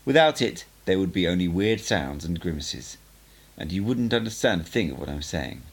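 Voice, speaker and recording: A man voicing a male sorcerer teacher in an audiobook, cutting and assured, explaining mysteries in a deliberate, didactic and emphatic way. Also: sits low, big pitch swings, heavily acted. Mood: instructive, grave. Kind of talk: audiobook character dialogue.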